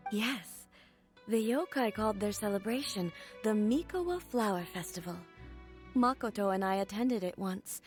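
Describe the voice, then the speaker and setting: A woman playing a dignified, composed female ruler in a video game, speaking formally and politely with measured phrasing. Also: medium pitch, lively intonation, natural voice. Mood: nostalgic. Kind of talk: video game cutscene acting. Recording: music behind the voice.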